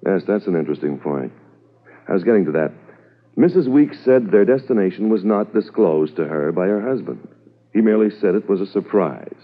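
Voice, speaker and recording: An actor playing a brisk, authoritative police commissioner in a 1950s radio drama, methodical and official. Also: male voice, low-pitched, lively intonation. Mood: businesslike, briefing. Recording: muffled, thin old radio recording.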